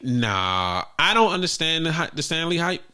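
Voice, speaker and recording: A man co-hosting a casual sneaker podcast, talking in a profane, animated way that jumps up loud when he jokes. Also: on the high side, moderate pace, clear, resonant tone. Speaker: podcast co-host. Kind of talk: casual chat.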